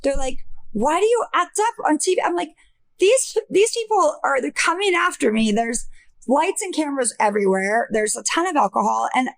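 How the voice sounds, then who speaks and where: A middle-aged woman hosting a podcast, with a high voice, talking candidly and chattily and swearing freely. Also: lively intonation, moderate pace, gravelly, poor audio. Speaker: podcast host. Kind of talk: casual chat.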